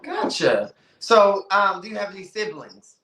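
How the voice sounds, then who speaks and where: A playful man in his thirties with a high, bright voice hosts a casual interview. He teases his guest and bursts into exclamations. The room is echoey. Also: sing-song, measured pace, a little hiss or hum. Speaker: podcast host.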